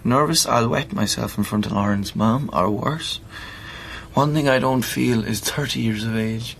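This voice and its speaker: A man's voice: medium pitch, normal speed, creaky, a bit bright, a little hiss or hum. An actor with an Irish accent playing a seriously ill man of thirty, blunt and sardonic, in a naturalistic radio play. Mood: weary, serious. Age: 30s. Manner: dry, blunt, colloquial.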